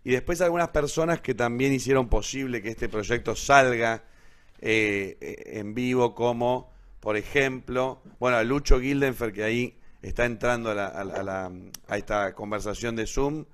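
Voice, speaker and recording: A man's voice: medium pitch, fairly bright tone, clean tone. Rambling Argentine man hosting live. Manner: rambling, halting, self-correcting. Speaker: host of an online radio theatre show. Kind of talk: casual live show hosting.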